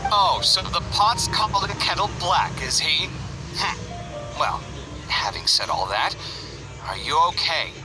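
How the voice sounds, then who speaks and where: A man voicing a theatrical, sensitive young housemate in a video game, expressive and quick to bicker. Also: fairly high pitch, poor audio. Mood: sarcastic, then concerned. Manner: expressive, indignant, sarcastic. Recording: loud game music behind voice. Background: music.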